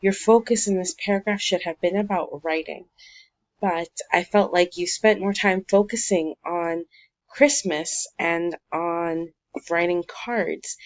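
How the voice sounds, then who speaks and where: A woman teacher calmly going through essay feedback, encouraging but critical, with frequent fillers. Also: lowish voice, highs cut off. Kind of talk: recorded one-to-one feedback on a student essay.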